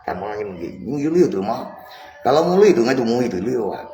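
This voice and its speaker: Echoey, low-voiced man over clear music. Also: very animated, quick pace. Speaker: live streamer. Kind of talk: casual live-stream chat. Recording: echoey room, music mixed in.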